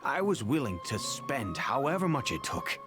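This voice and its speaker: An actor playing an earnest, remorseful, wealthy middle-aged father in a video game, speaking in a measured, formal way. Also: mid-range voice, big pitch swings, over music, natural voice. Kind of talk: video game character acting.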